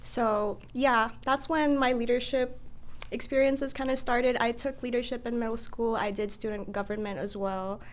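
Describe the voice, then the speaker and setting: A teenage girl from the Philippines, whose first language is not English, answering interview questions in an earnest, soft-spoken way. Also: on the high side, fairly even intonation, moderate pace. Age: teens. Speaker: guest, student body vice president. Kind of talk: casual interview.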